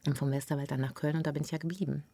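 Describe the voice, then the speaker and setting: A woman's voice: low voice, flat delivery, very fast, warm tone, dry recording. A woman in her forties from Unna, a cheerful guest in a casual interview. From Unna near Dortmund. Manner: cheerful, laughs easily.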